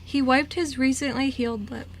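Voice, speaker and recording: High-voiced woman narrating a story. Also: fairly even intonation, normal speed. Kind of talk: scripted story narration for amateur radio drama. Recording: noisy amateur radio recording.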